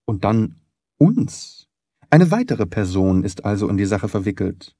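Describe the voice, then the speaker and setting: A man playing a shrewd male detective in an audiobook. He is precise, probing and self-assured, explaining his reasoning courteously. His melody is animated and the sound is dry and close. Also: fairly low pitch, unhurried, slightly rough, dry recording, pitched below the performer's own voice, full character voice. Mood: analytical, self-assured. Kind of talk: audiobook character dialogue.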